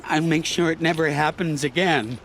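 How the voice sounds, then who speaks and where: A man's voice: fairly high pitch, normal speed, fairly bright tone, slight background noise. An actor playing a cowardly, ingratiating male swindler who stammers nervously in a video game. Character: cowardly, grovelling male swindler pleading for mercy.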